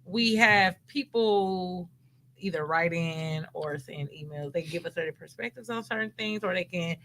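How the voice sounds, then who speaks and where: A woman in her thirties hosts a casual podcast conversation. Her voice is bright and her talk is animated and self-deprecating, often breaking into laughter. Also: on the low side, measured pace, a little hiss or hum, poor audio. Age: 30s. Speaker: podcast host.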